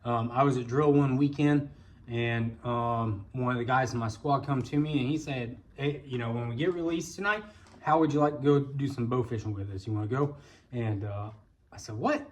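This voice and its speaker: A man's voice: medium pitch, normal speed. An American man with a folksy, colloquial way of talking, telling a casual personal story as a vlog host. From Indiana, United States. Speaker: vlog host, bowfishing guide.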